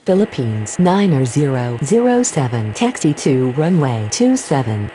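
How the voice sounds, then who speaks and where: A woman's voice: a bass voice, very animated, measured pace, warm tone, exaggerated voice. A woman playing a pilot and air traffic controller, giving formal, clipped radio callouts in standard aviation phraseology. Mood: businesslike.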